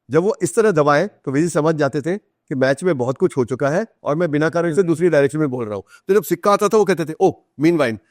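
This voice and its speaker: A man's voice: fairly high pitch, darkish tone, no echo, studio quality. A middle-aged Indian man speaking as a podcast guest, explaining and telling anecdotes conversationally, mixing in English phrases. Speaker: interview guest, author. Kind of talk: conversational storytelling in a podcast interview.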